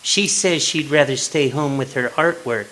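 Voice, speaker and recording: An actor playing a meek, cheerful henpecked husband in a polite, prim manner, in old-time radio comedy style. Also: male voice, mid-range voice, moderate pace, sharp tone, natural voice. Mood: mildly resigned. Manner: polite, mild, prim delivery. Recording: live stage recording with background noise.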